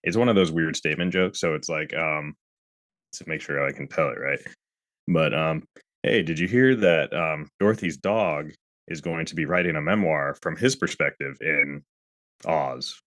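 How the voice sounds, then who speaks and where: A man co-hosting a casual podcast while sick, relaxed and quick to laugh. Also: very deep voice. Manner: laid-back, laughs easily. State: sick, congested. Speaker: podcast co-host. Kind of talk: casual chat.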